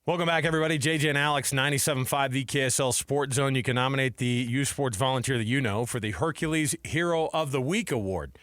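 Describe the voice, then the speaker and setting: A man's voice: medium pitch, fast, hardly any pauses, a bit bright. A man hosting a sports talk radio show in an informal, conversational way, talking like he is chatting with a buddy. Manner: informal, conversational, addresses listeners and co-host as man and dude. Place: radio studio.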